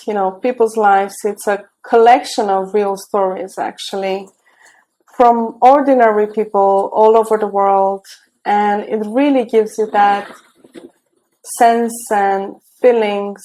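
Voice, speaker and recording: A woman from Romania speaks with a Romanian accent as an interview guest on a video call. Her voice is warm and mellow, and she talks hesitantly, pausing on fillers and restarting her sentences. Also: medium pitch, rough recording. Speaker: guest, tech mentor.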